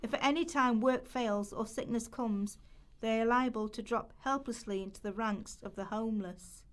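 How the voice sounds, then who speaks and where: A woman's voice: a little high, moderate pace. A woman giving a scripted dramatic reading of Victorian prose in a radio play rehearsal. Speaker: reader of quoted historical text.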